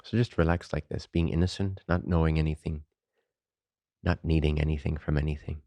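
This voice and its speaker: A man leading a guided meditation as a teacher, unhurried and informal, speaking in short phrases with pauses between them. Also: a bass voice, little pitch movement, warm tone, no room sound.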